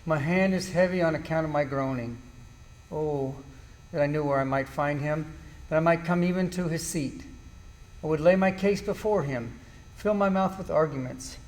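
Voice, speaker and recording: A man's voice: a little high, moderate pace, darkish tone, lightly acted. An amateur actor, a man, playing a suffering, bitter but steadfast man in a solemn, declamatory Bible drama reading. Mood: bitter lament. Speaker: church class amateur actor.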